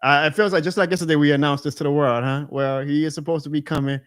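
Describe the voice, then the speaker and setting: A man's voice: a little high, fairly even intonation, fast, clean tone. A man in his thirties hosting a live sports talk stream, ranting with energy and laughing often. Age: 30s. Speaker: show host.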